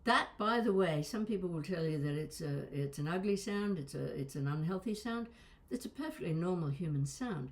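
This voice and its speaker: Female voice coach, slightly low register, conversational lesson delivery with emphatic, drawn-out key words. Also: expressive. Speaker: voice and accent coach. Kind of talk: instructional demonstration of vocal exercises.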